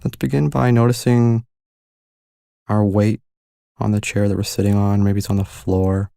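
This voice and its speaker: A man leading a guided meditation, giving calm, gentle instructions with unhurried pauses. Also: lowish voice, vocal fry, smooth, dry recording, clean studio sound.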